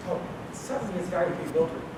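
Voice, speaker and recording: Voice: mid-range voice, racing, close to an everyday voice. A young performer playing a harried, stubborn wrongly accused man in a live stage radio play, brusque and theatrical. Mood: guarded. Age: teenager or young adult. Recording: echoey hall, far mic, noisy livestream.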